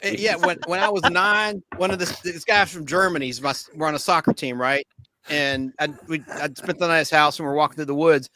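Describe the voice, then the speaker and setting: A man's voice: fairly high pitch, brisk, sharp tone. A man on a panel, making loopy jokes and telling a childhood story in casual chat. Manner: joking, loopy.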